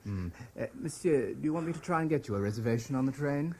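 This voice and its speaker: An actor in a radio drama playing a polite, discreet French hotel receptionist, husky and formally courteous. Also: male voice, mid-range voice, animated, fast, mellow, slight background noise, natural voice. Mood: discreet, obliging. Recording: 1970s radio drama broadcast.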